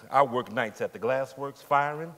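A man's voice: mid-range voice, fairly bright tone, natural voice. Man acting steadfast witness in echoing hall. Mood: aggrieved, recounting. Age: middle-aged. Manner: deliberate, hesitant, formally polite. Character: steadfast forty-nine-year-old working man testifying as witness. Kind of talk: dramatic stage reading of court testimony. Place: large indoor theatre stage. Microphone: lapel mic. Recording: echoey theatre stage, long reverb.

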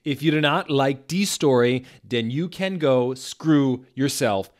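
A man's voice: medium pitch, voice pushed up, lightly acted. A man voicing a defensive teenage girl author, petulant and emphatic as she snaps at her critics. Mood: indignant. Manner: emphatic, petulant, drawn-out words.

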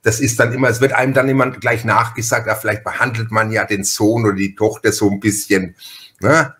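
A man's voice: on the low side, little pitch movement, a little fast, bright, forceful tone. A man from Franconia with a Franconian German accent, hosting a casual interview podcast in a chatty, rambling way. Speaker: podcast host.

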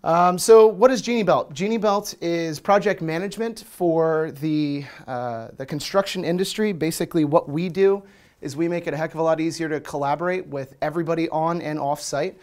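A man with an American accent, in his thirties, gives a relaxed talk at a meetup in front of a projector screen. His voice sits a little high, and he speaks loosely with frequent "um"s and "uh"s. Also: moderate pace. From Washington, D.C., USA. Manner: relaxed, chatty, many fillers. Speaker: conference speaker. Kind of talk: casual presentation talk.

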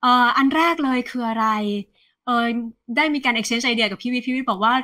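A woman in her thirties, an economist guest, explaining the market in a lively, conversational way over a video call. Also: a little high, brisk. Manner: animated, refers to herself by nickname, mixes English terms. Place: plain indoor room, video call.

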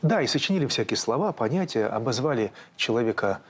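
A man in his forties, a priest, speaking in a studio with a husky voice and a solemn, deliberate, preaching delivery. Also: medium pitch, lively intonation.

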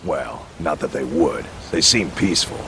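A man's voice: a bass voice, expressive, moderate pace, background noise. A male actor voicing a kindly, weary older courier in a game, plainly spoken. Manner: folksy, plain, good-natured.

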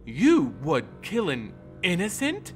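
A man's voice: sits high, huge pitch swings, slow, faint music behind, voice pushed up, full character voice. A man voicing a haughty female vampire lord in a fantasy story, coy, mocking and condescending. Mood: cool, taunting.

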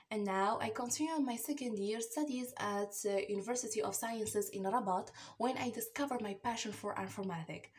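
An eighteen-year-old woman from Morocco with a Moroccan accent gives a motivation speech to camera in hesitant, halting non-native English. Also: medium pitch, slight background noise. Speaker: university applicant. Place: small plain indoor room.

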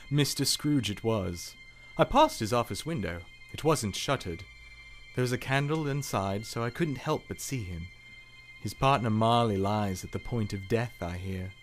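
A man's voice: lowish voice, animated, a little slow, faint music behind. An actor playing an easygoing husband in a radio play, talking conversationally to his wife. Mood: teasing, chatty.

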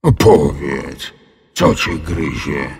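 A man's voice: very deep voice, animated, very slow, gravelly, breathy edge, voice pushed down, exaggerated voice. A man voicing a big, friendly, bumbling male dragon in a children's audio story, with hesitant, drawling, sheepish speech. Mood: soothing.

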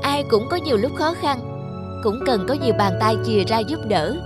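A woman's voice: on the high side, animated, moderate pace, loud music behind the voice, lightly acted. A woman voicing a kind, earnest young friend in a cartoon drama, reassuring. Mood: gentle, earnest.

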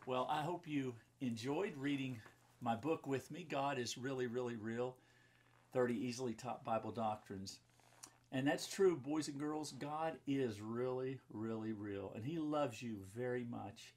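Male pastor, 50s, mid-pitched with a husky edge, telling Bible stories to children in simple, explanatory language. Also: measured pace, darkish tone. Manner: simple child-directed phrasing with explanatory asides. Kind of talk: children's Bible storybook reading and talk. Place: book-lined room indoors.

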